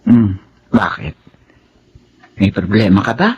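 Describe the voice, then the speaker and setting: An actress playing a caring, reassuring older aunt in a radio drama, speaking in a motherly, soothing, expressive way. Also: extremely low pitch, takes it slowly, highs cut off, a little hiss or hum. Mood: gentle, reassuring. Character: caring, reassuring older aunt raising her late sister's children.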